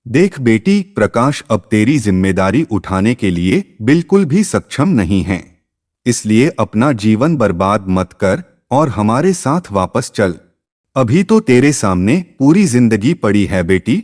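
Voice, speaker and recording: Man voicing earnest, insistent old-fashioned father, slow. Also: lowish voice, sing-song, a little mellow. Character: worried father-in-law urging his daughter to come home. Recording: long reverb.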